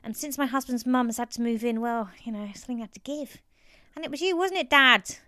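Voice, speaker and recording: A woman's voice: a little high, fairly even intonation, darkish tone, no echo, studio quality, pitched above the performer's own voice, lightly acted. A woman voicing an exasperated, fussy grown-up daughter of an elderly man in a story, often raising her voice. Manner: raised voice, slowed for deaf listener.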